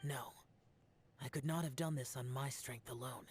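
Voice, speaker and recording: A man's voice: mid-range voice, steady tone, normal speed, husky, lightly acted. A man voicing an aloof, solemn young male warrior who talks terse and formal.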